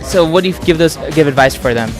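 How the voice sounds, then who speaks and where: Higher-voiced casual male interviewer. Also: fast talker, music in the background. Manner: loose, rambling questions with many "like"s. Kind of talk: casual chat.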